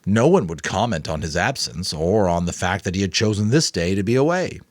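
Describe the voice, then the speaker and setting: A man's voice: low voice, sing-song, fairly quick, no room sound, studio quality. A man narrating an audiobook in a dramatic reading, with some casual commentary.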